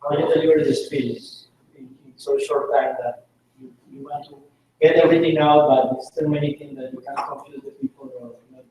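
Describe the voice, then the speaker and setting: A man's voice: mid-range voice, fairly even intonation, brisk, mellow. A man in the audience asking a question, searching for words as he goes. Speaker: audience member. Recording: echoey room recorded from a distance.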